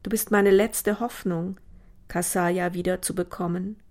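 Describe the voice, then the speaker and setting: A woman's voice: on the low side, steady tone, normal speed, a little mellow, close to an everyday voice. A woman voicing an earnest, secretive girl from a fantasy land in an audiobook, with an urgent, dramatic manner. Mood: eager, hopeful.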